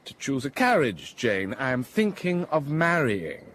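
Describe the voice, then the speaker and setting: A brooding gentleman master, teasing and mock-reproachful. His voice rises and falls lively in a radio drama, with a faint hiss behind it. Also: medium pitch, measured pace. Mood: playful.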